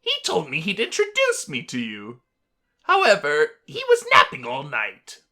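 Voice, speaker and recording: A woman's voice: deep voice, huge pitch swings, measured pace, bright, forceful tone, exaggerated voice. A woman voicing an exuberant, grandiose male skeleton royal guard for a visual novel game, with pompous, theatrical, emphatic delivery. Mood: boastful, earnest.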